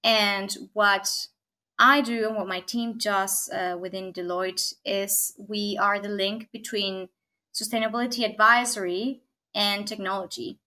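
A woman from Argentina, a technology consultant, speaking as a guest in a podcast interview, thoughtful, with frequent pauses and tag questions. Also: mid-range voice, steady tone, pushed and bright. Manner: thoughtful, many fillers and restarts, frequent "right?".

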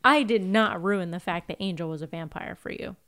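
A woman co-hosting a podcast in casual, animated and opinionated chat, acting out imagined lines as she recaps TV. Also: fairly low pitch, fairly quick. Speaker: podcast co-host.

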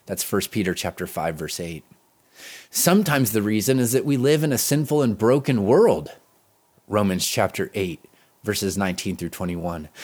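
A man delivers a scripted devotional in an earnest, pastoral way. His mid-pitched voice moves up and down freely, and he leans on key words with deliberate pauses. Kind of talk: devotional reading, scripted narration.

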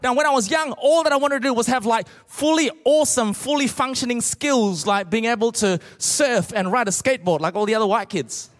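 High-pitched Australian male preacher in a hall. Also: a bit bright. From Australia, born in Malaysia. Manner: emphatic, rhetorical questions to the congregation. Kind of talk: sermon with comic storytelling. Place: large church auditorium, speaking to a congregation. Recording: large reverberant hall.

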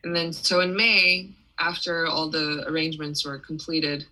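A young woman from Taiwan speaks in a low yet bright, forward voice. She answers interview questions in a loose, chatty way, restarting her sentences and scattering fillers as she goes. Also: little pitch movement, normal speed, poor audio. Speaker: musician guest.

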